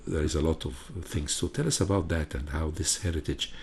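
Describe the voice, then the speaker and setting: A man's voice: very deep voice, brisk, a little hiss or hum. A man with an Egyptian accent interviewing a guest, speaking hesitantly with many fillers and restarts. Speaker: interviewer, professor. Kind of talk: formal interview.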